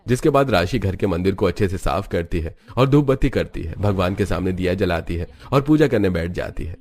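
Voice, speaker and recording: Low-pitched man narrating a cartoon story. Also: expressive, fairly quick, a little mellow.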